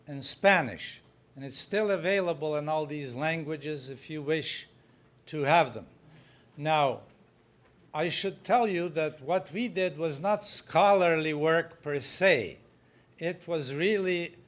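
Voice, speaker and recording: A man giving a talk at a conference, speaking loudly and projecting to the hall. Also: fairly high pitch. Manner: unhurried, loud and projecting.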